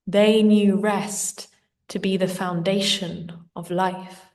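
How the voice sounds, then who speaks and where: Slow-speaking woman guiding a meditation, slightly distant. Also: mid-range voice, steady tone. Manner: gentle, soothing, unhurried delivery. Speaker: meditation guide. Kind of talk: slow guided meditation narration.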